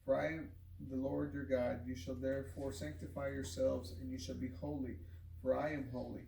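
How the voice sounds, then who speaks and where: Man reading scripture, flat and roomy. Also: medium pitch, normal speed, creaky. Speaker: scripture reader. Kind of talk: scripted Bible reading aloud. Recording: roomy, distant microphone, noisy background.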